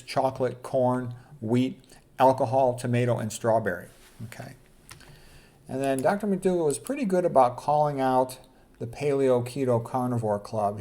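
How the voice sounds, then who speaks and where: A man's voice: mid-range voice, darkish tone. A man lecturing informally as a doctor, reading out quotes and adding blunt, opinionated, colloquial commentary. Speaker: doctor presenting a health lecture.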